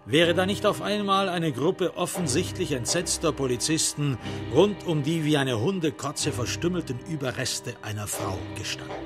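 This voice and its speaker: A man narrating a scripted crime radio drama in a measured, literary and factual way. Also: a little high, expressive, loud music behind the voice. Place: studio.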